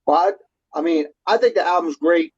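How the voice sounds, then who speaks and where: A man co-hosting a podcast, asking a question haltingly with many filler sounds. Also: fairly high pitch, husky, dry recording. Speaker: podcast co-host. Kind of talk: casual podcast conversation. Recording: dry, dull lo-fi sound.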